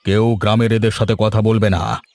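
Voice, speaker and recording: A man's voice: on the low side, little pitch movement, normal speed, a little mellow, no echo, close to an everyday voice. A man playing an authoritative, stern but fair village headman in a cartoon. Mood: shocked, stern. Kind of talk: Bengali village cartoon voice acting.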